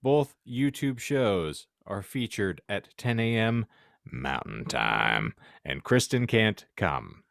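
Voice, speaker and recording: A man's voice: lowish voice, very animated, slow, vocal fry, a bit bright, dry recording, studio quality. A man hosting a comedy podcast, bantering in a mock-grandiose, self-deprecating, wordy way. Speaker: podcast host.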